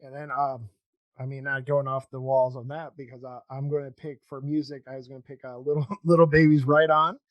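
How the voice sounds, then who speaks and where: A man hosting a casual interview podcast, talking in a halting, rambling, informal way. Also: mid-range voice, little pitch movement, dry recording, muffled recording. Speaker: podcast host.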